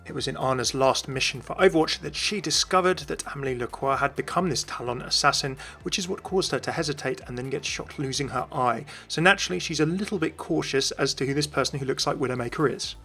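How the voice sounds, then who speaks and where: A man's voice: medium pitch, fast talker, creaky, hardly any pauses, bright, forceful tone, faint music behind. A man hosting a video, reading scripted narration. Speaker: video host.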